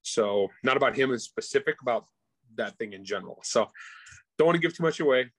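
A man's voice: mid-range voice, lively intonation, fairly quick, no room sound, rough recording. A man speaking as a guest author in a casual interview, informal and slangy, often restarting his sentences. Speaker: guest author discussing own book.

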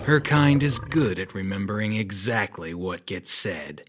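Hard-boiled 1930s detective, weary, kind underneath, dry tough delivery, widely swinging pitch, slow, noir radio play. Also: male voice, expressive, a bit bright, slight background noise. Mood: grim, controlled. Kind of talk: noir audio drama acting.

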